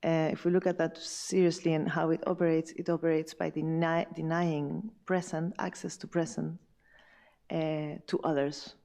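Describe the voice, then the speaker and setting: Woman, panel discussion, mellow dark tone, hesitant pacing. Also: low voice, flat delivery, vocal fry. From Chile. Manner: thoughtful, halting, many fillers and restarts. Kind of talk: round table discussion.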